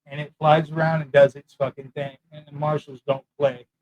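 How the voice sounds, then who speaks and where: A man who served prison time, talking into a mic in a loud voice with blunt, profane street talk and a rambling manner. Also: on the high side, level tone, normal speed, low-quality recording. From California. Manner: rambling, loses his thread. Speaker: former prisoner sharing prison know-how. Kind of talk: casual monologue to camera.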